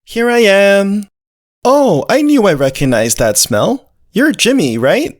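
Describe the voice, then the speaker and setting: Someone voicing an animated, naive pet dog. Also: mid-range voice, takes it slowly. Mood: playful, innocent. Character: earnest, childlike, food-loving male pet dog narrator. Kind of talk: first-person visual novel narration with character dialogue.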